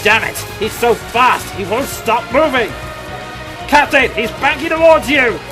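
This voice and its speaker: A man's voice: extremely high pitch, lively intonation, a little slow. A man playing a fanatical enemy mage in a fantasy audio drama, furious, theatrical and commanding. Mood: alarmed. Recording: loud music behind.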